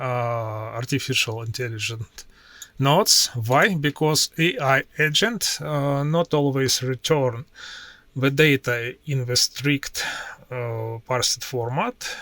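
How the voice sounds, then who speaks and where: Non-native male tech presenter, Russian accent, halting delivery with frequent pauses, crisp bright voice. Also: mid-range voice, no echo. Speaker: IT professional demoing his workflow. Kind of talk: screen-share tutorial walkthrough.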